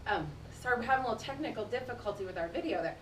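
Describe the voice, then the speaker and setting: A woman reads aloud to a classroom of young children, pausing to explain things in simple, conversational terms. Her voice sits fairly high and sounds distant in an echoing room, with a low rumble of noise behind her. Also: animated, a bit bright. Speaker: teacher reading aloud to children. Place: indoor room, speaking to a class.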